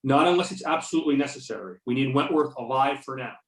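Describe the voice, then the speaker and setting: A man's voice: medium pitch, quick pace, a bit bright, close to an everyday voice. A man playing a determined investigator in readers theater, matter-of-fact and commanding, over a remote call. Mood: firm, serious. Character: Beckett, determined male investigator giving orders. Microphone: remote videoconference or phone call. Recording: roomy, distant mic on conference call.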